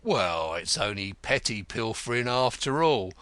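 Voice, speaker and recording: A man's voice: fairly low pitch, lively intonation, a little slow, a bit bright, no room sound. A man voicing a blunt, sardonic retired male detective in an audiobook, with a growling, mocking manner. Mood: amused, mocking. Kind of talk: audiobook character voice.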